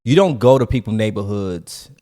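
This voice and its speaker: A man from co-hosting a podcast, telling stories in a casual, slangy and animated way. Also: on the low side, normal speed. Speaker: podcast co-host and storyteller. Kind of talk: casual conversational storytelling.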